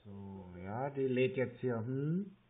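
A man's voice: mid-range voice, expressive, slow. Hesitant man on a muffled phone line. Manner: hesitant, plodding, questioning. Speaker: scam-baiter posing as a customer. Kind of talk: phone conversation.

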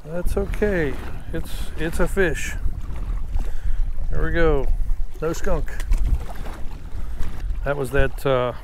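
A man's voice: fairly high pitch, slow, long pauses. A middle-aged man vlogging while fishing from a windy lakeshore, chatting casually and thinking aloud through an action camera mic. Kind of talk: casual on-the-spot commentary. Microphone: chest or head-mounted action camera. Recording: loud wind noise on the mic.

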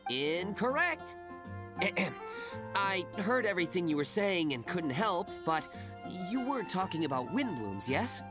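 A man voicing an opinionated young fruit seller in a game, pompous, excitable and declamatory. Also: high voice, a little slow, over music. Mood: smug correcting.

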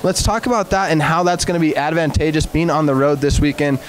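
A man's voice: a little high, a little fast, slight background noise. A young man interviewing a coach after a game in an eager, upbeat way with frequent fillers. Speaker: sports interviewer. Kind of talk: postgame interview.

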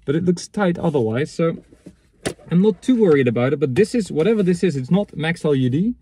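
A man in his thirties chatting casually and unscripted in a halting, matter-of-fact way as he thinks aloud, recorded inside a parked car. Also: fairly high pitch, lively intonation, dark tone, slight background noise. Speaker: vlogger reviewing secondhand reel-to-reel tapes. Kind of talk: casual unscripted chat, commenting on items as he handles them.

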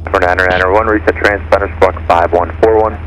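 A man's voice: low-pitched, fairly even intonation, brisk, rough recording. A man working as an air traffic controller, reading routine instructions over aviation radio. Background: faint aircraft noise.

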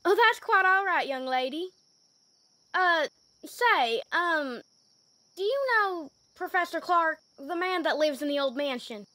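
Woman playing a high, bright folksy sheriff. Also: expressive, measured pace, no room sound, heavily acted, rough recording. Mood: folksy, wary. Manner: folksy, rustic, hesitant. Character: folksy small-town female sheriff, gossipy and cautious. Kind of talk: amateur old-time radio drama acting.

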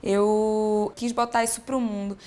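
A woman's voice: mid-range voice, a little slow. A young woman from Pernambuco with a Pernambuco accent, chatting animatedly as an interview guest while seated on a sofa in a sunny living room. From Pernambuco, Brazil. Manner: animated, expressive, gestures while talking. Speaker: singer-songwriter guest. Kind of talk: casual interview chat.

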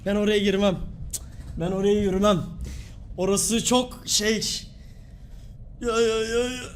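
A man live streaming games with excitable, sweary commentary, often repeating his words. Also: high-pitched, a little slow, a little hiss or hum. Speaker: game streamer.

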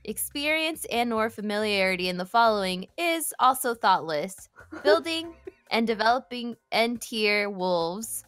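A woman's voice: fairly high pitch, a bit bright, no echo. A woman chatting casually and reading silly text aloud during an online group game. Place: online voice call.

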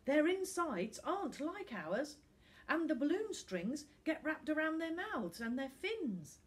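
Woman acting an earnest, high-pitched desperate young boy. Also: big pitch swings, unhurried. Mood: earnest, upset. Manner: pleading, breathless insistence. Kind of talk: audiobook character acting.

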